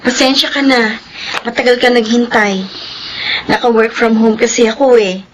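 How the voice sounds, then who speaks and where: A woman acts as a chatty, eager Filipina old flame in a radio drama. Her highish voice is polite and forthcoming, with faint noise behind. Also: steady tone, moderate pace, lightly acted, poor audio. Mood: eager, curious. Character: bright, chatty working mother, an old flame. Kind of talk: Tagalog radio drama acting.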